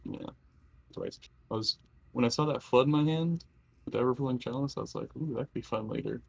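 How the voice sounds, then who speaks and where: A man's voice: mid-range voice, fairly quick, vocal fry, breathy edge, muffled recording. A chatty man playing a livestreamed card game, talking loosely and laughing between remarks. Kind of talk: casual chat during a livestreamed card game.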